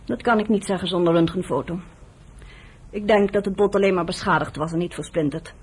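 A woman's voice: fairly low pitch, fairly quick, a little mellow, breathy edge. An actress playing a weary, bitter woman who nurses the man she loves, in a reproachful, emotional radio drama style. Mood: businesslike.

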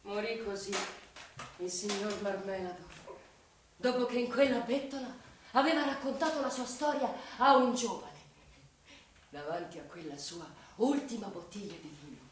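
A woman's voice: mid-range voice, normal speed, slight background noise, natural voice. A woman narrating a tragic radio play as a solemn storyteller, with scripted dramatic delivery. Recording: very echoey, distant from mic.

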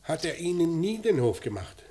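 An actor playing a probing, businesslike police inspector in a mid-century radio crime drama, asking short, clipped questions. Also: male voice, a little high, sing-song, moderate pace, dark tone. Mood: probing, insistent. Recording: noisy old radio drama recording.

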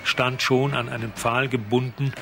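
An actor playing a calm storyteller, narrating a suspense radio drama in a measured, literary way. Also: male voice, medium pitch, creaky, music in the background, natural voice. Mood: calm narration.

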